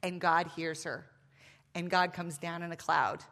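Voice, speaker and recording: A woman's voice: fairly low pitch, steady tone, a little slow, a bit bright. Playful woman of fifty in a big hall. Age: 50s. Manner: emphatic and playful, with asides. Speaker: speaker at a women's event. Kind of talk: faith talk to a live audience. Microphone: microphone. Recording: long reverb of a large hall.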